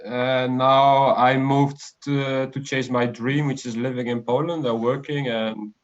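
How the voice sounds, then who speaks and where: A man in his late twenties from the Netherlands, a guest on a video call, talking in a relaxed, hesitant way with many fillers. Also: mid-range voice, a little slow. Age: 20s. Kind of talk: casual interview chat. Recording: slightly dull lo-fi stream audio.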